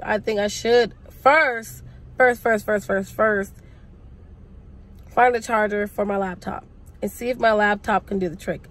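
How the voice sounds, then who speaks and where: A young woman talks into a phone held at arm's length inside her parked car. Her voice is congested from a cold. She rambles in a chatty, casual way, full of slang. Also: medium pitch. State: sick, congested voice. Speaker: vlogger. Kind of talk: casual chat to camera. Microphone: phone camera at arm's length.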